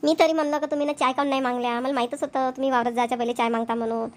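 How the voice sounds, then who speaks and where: Woman doing doting cartoon village granny, really high voice, chatty farmer's wife, rural varhadi maharashtra accent. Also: steady tone, very fast, natural voice. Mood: homely, practical. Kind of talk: Marathi cartoon comedy voice acting.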